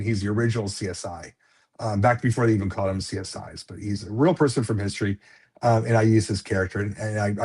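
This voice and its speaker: Husky-voiced man rambling on a call. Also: lowish voice. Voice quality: slight lisp. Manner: chatty, rambling, many fillers and restarts. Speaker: author guest. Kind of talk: casual live-stream interview.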